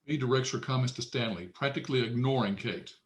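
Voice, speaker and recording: A man reads screenplay directions as narrator at a table read. His voice sits at an average pitch, delivering scripted scene descriptions. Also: fast talker.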